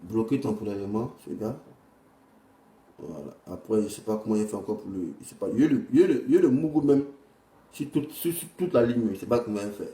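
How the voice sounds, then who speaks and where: A man's voice: on the low side, expressive, a little fast, dark tone. An Ivorian man hosting an informal livestream commentary, rambling and repeating himself in a blunt, crude way. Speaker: livestream host. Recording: echoey room, distant from the mic.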